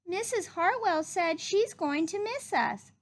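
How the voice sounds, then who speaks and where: An earnest, wistful young schoolchild from a storybook, acted out by a woman, speaking in a high singsong. The pace is slow and the words are simple. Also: lively intonation.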